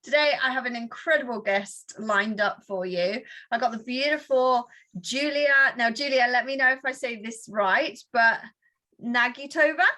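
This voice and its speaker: A woman's voice: a little high, normal speed, sharp tone. A woman hosting a casual conversation show, bubbly and gushing, full of fillers like "like". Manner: bubbly, gushing, lots of "like" and "yay". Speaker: podcast host.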